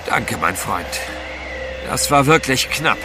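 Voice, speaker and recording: An actor playing an earnest, gallant gentleman adventurer in an audio drama, with a formal, dramatic manner. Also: medium pitch, sing-song, takes it slowly, over loud music. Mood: relieved.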